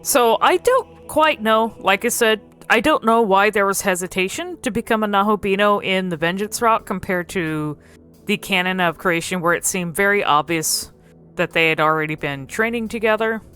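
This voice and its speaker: A woman giving casual game commentary as a video creator, thinking aloud in a halting, musing way. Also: mid-range voice, a bit bright, clean tone, soft background music.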